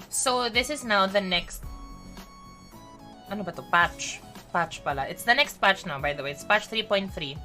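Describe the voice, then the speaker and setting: A woman with a Filipino accent chatting playfully with viewers as a game streamer, switching between English and Tagalog with quick teasing asides. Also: mid-range voice, pushed and bright. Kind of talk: casual live-stream chat.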